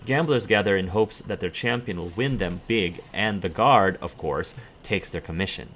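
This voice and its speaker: A man's voice: deep voice, moderate pace, a bit bright, slight background noise. A man hosting a game playthrough, reading in-game codex entries aloud with stumbles and self-corrections and dropping brief dry asides. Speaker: let's play host.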